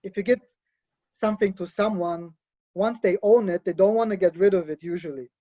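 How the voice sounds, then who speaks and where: A man from Bulgaria speaking as a guest on an online panel over a video call, deliberate and matter-of-fact. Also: high voice, mellow. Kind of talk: casual panel talk. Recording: dry, dull lo-fi call audio.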